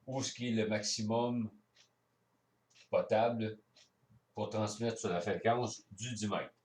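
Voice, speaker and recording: A man's voice: fairly low pitch, steady tone, slow pace, a little mellow, slight background noise. A man with a Quebec French accent explains things step by step in a casual hands-on demonstration, as an amateur radio operator. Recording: roomy echo, distant microphone.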